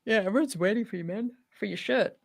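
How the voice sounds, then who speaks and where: A woman's voice: medium pitch, fast, gravelly. An Australian woman co-hosting a casual livestream, talking in a slangy, teasing and enthusiastic way. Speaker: livestream host.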